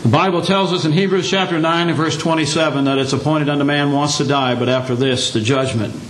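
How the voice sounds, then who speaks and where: An older man preaching a Sunday lesson, his voice hoarse and scratchy, speaking in a plain folksy way with a touch of humor. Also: fairly high pitch, steady tone, fairly quick, fairly bright tone. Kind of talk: sermon. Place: church meeting room. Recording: echoey room.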